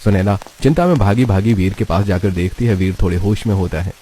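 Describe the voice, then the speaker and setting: A man's voice: sits low, quick pace, warm tone, husky. A man narrating a scripted moral story as its storyteller.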